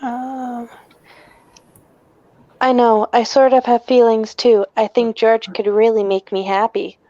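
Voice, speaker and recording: A woman's voice: fairly high pitch, flat delivery, takes it slowly, warm tone, no echo, highs cut off. A woman reading a sweet, smitten fifties teenage girl at a film script table read. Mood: shy, fond.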